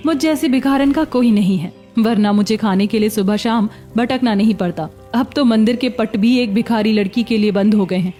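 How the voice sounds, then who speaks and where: Woman doing a poor hungry beggar girl for a cartoon, high pleading voice, kinda mouthy, bit of music behind. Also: normal speed, hardly any pauses, warm tone, natural voice. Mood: sad, confused. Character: hungry young beggar woman, pleading yet sharp-tongued. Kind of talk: Hindi cartoon story acting.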